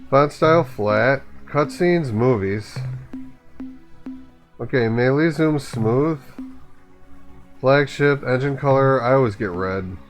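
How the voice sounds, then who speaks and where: A man giving a casual step-by-step software tutorial, informally thinking aloud as he goes. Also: mid-range voice, slow pace, pauses often, faint music behind. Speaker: tutorial host.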